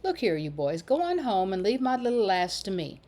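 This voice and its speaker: A woman's voice: medium pitch, very animated, normal speed, dark tone. A grumbling old gatekeeper, voiced by a woman reading aloud, blustering and scolding at children. Underneath the bluster he is a kindly old man out of a storybook. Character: gruff old village gatekeeper, soft-hearted.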